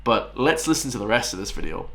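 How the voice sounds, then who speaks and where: Casting note: male reaction host, mid-pitched, emphatic and blunt. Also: lively intonation, quick pace, background noise. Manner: blunt, emphatic, repeats himself, laughs often. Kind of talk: reaction commentary.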